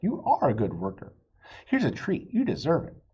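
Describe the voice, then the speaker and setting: A reassuring, folksy storybook waitress acted by a man, pitched up and very lively. The voice is husky and encouraging, on a slightly lo-fi recording. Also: moderate pace, exaggerated voice. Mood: cheerful, encouraging. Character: friendly, reassuring diner waitress, adult woman.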